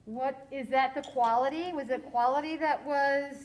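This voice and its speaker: A woman teaching a science lesson to a class, quizzing her students in a slow, deliberate way. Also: high-pitched.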